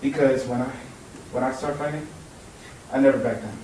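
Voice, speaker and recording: A man's voice: medium pitch, normal speed, darkish tone, slight background noise, close to an everyday voice. A young man playing an angry, defiant student who stumbles, repeats himself and then bursts out. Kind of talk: stage monologue. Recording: very echoey hall from far off.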